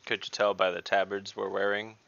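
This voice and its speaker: Player, man, low gravelly bright voice, dry humor, lo-fi call with faint hiss. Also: flat delivery, brisk, dry recording. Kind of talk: casual chat in a tabletop game. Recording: dull lo-fi call audio with faint noise.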